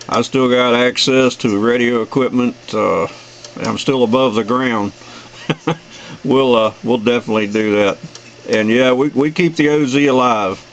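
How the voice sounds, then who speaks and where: A man's voice: mid-range voice, dull audio. Folksy American man on a noisy radio recording. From United States. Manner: friendly sign-offs, folksy. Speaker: amateur radio operator. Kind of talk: casual radio contact chat.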